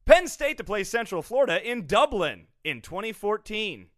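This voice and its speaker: A man's voice: sits high, big pitch swings, normal speed, a bit bright, no room sound, clean studio sound. A man co-hosting a comedy sports podcast, reading out news items and riffing on them with frequent laughter. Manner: reads headlines then jokes, laughs often. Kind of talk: casual comedic chat.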